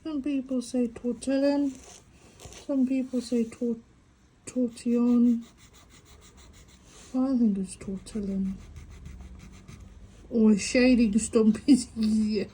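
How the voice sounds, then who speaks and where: A woman's voice: on the high side, fairly even intonation, takes it slowly, long pauses, dark tone, husky. A woman with a stutter, chatting casually to camera while she draws and recorded on a phone. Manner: reflective, talks to herself while drawing. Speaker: tangle art vlogger.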